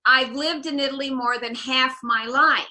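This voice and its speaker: An older American woman from the Midwest, in her seventies, tells her story as a tour guide on a video call. She sounds high and very bright. She savors the Italian words she drops in. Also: fairly even intonation, normal speed. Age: 70s. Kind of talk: illustrated talk over slides.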